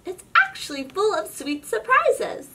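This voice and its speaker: A woman reading a children's storybook aloud in an expressive, suspenseful narrating style. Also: extremely high pitch, unhurried, recorded from a distance. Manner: expressive, suspenseful, with whispers and exclamations. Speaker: storybook reader.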